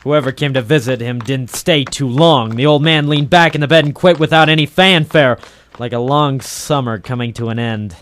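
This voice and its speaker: A man's voice: mid-range voice, fairly even intonation, normal speed, a bit bright, slight background noise, close to an everyday voice. An actor as a hard-boiled, sardonic noir tough guy. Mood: wry, deadpan. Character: cynical wisecracking male private troubleshooter of the docks. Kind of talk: late 1940s noir radio acting with narration. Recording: old 1940s radio drama recording.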